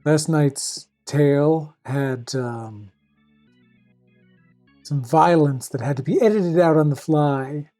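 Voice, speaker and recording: Low, mellow woman reading a fairy tale. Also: slow, soft background music. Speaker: fairy tale reader and host. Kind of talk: scripted storybook narration with dry asides.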